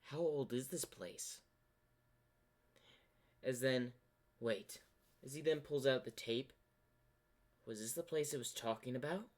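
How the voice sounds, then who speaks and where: Slow, halting male storyteller. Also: mid-range voice, a little mellow. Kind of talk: improvised dramatic story narration.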